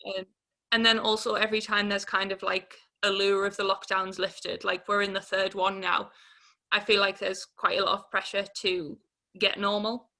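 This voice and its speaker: A woman's voice: medium pitch, level tone, moderate pace, bright, forceful tone. A woman, giving a candid personal account in an informal, hesitant way as an interviewee. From Newcastle upon Tyne, England. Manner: informal, hesitant, peppered with 'like'.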